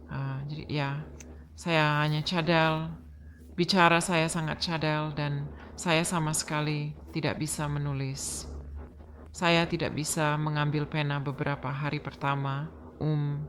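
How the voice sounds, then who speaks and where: Low-voiced woman, halting testimony. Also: a little hiss or hum. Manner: halting, many pauses and fillers. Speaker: stroke survivor. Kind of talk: personal testimony.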